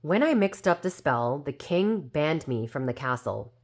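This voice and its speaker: A woman's voice: deep voice, big pitch swings, measured pace, heavily acted. A woman voicing a very old, indignant but well-meaning fairy who tells her own storybook tale defensively and with self-assurance. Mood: earnest. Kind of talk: storybook character narration.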